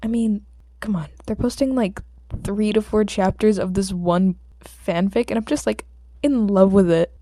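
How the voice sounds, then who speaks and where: A woman's voice: mid-range voice, measured pace, warm tone, dry recording. A woman with a sore throat, speaking as an amateur audiobook reader in an informal, homemade style. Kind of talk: story narration and casual chat with listeners.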